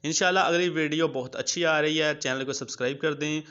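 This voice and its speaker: Bright-voiced man, casual tutorial, lo-fi sound. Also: a little high, fast, clear, resonant tone. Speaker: YouTube host of app tutorials. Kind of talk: casual tutorial narration with screen walkthrough. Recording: dull lo-fi sound.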